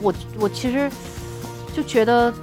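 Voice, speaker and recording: A woman's voice: on the high side, a little slow. A woman co-hosting a casual chat, asking frank questions. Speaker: podcast co-host questioning the author. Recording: music playing behind the talk. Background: background music.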